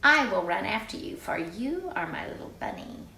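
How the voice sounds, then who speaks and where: A woman's voice: mid-range voice, very animated, moderate pace, vocal fry, pushed and bright, roomy sound, a little hiss or hum, full character voice. A woman voicing a devoted, unflappable mother bunny in a storybook, reassuring and sure of herself. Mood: calmly determined.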